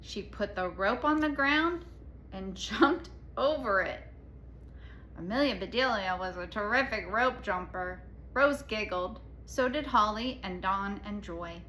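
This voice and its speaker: A woman's voice: medium pitch, expressive, a little slow, bright, forceful tone, a little hiss or hum. A woman reading a storybook aloud to children, expressively, with explanatory asides and questions to the listeners.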